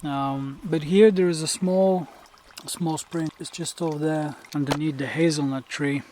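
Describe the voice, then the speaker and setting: A young man, a native Bulgarian speaker, vlogging on a mountain trail, talking to a handheld camera with many pauses and fillers. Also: on the high side, steady tone, warm tone, slight background noise. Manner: slow, hesitant, many fillers. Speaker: hiking vlogger. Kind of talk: casual trail vlog.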